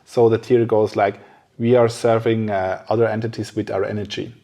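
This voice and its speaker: A man's voice: fairly low pitch, level tone, a little fast, warm tone. A man hosting a casual podcast conversation, speaking hesitantly as he searches for his words. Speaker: podcast host.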